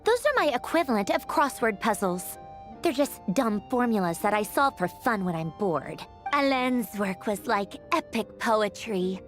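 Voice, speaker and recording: A haughty young woman genius from a video game, played by an actress, sardonic and offhand. Her delivery swings widely in pitch with dry theatrical flair, and music plays behind her. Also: natural voice. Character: haughty, sharp-witted young woman, a mecha-making genius. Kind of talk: scripted video game voice acting.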